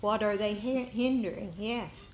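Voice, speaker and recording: A woman teaches meditation in an informal dharma talk. Her pitch is average for a woman and her delivery is markedly slow. She is reflective and halting, often circling back over a phrase. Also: slight background noise. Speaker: meditation teacher leading a group.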